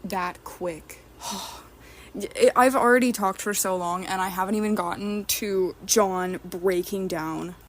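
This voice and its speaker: A woman's voice: mid-range voice, slow. A woman leaving a casual, rambling fan voice message, speaking with intense, heartfelt emphasis. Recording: clear background noise on voice message.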